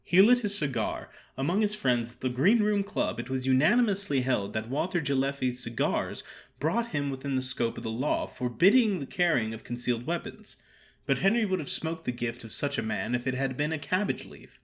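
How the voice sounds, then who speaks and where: A man narrating a story in a theatrical, formal and expressive way, as an actor performing an audio drama. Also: mid-range voice, normal speed.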